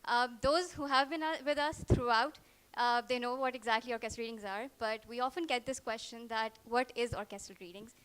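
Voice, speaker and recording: A woman's voice: a little high, steady tone, brisk, a bit bright, clean tone. A woman chattily introducing a live show as its presenter.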